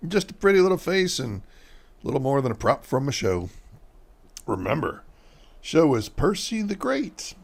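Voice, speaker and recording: An actor playing a boastful, washed-up alcoholic stage magician in a radio mystery play, pompous and condescending. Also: male voice, mid-range voice, big pitch swings, moderate pace, vocal fry, pauses often, husky, heavily acted.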